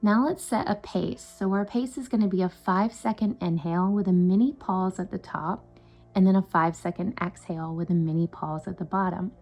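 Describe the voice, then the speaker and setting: A woman meditation coach leading a guided breathing session in a soothing, unhurried, instructional way. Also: fairly low pitch, darkish tone. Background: meditation music.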